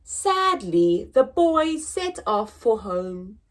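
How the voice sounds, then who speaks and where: A woman reading a picture book aloud to young children as a story time host, expressive and deliberate, drawing out words. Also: a little high.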